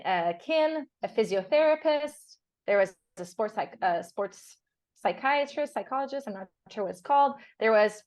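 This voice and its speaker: A woman's voice: medium pitch, dry recording. A young woman whose first language is French, chatting in a casual interview over a video call, often laughing and restarting words. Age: young adult. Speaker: guest. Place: on a sailboat.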